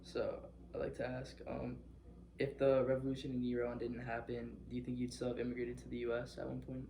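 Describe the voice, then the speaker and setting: A man asking interview questions in a plain, halting way. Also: mid-range voice, monotone, creaky, dark tone, a little hiss or hum. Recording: roomy echoey room.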